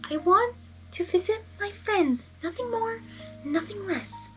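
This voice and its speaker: Woman voicing a high, soft pleading pony girl. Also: animated, a little slow, soft background music, low-quality recording. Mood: hurt, firm. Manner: earnest, pleading. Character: soft, high-voiced, pleading young female pony, gentle but determined.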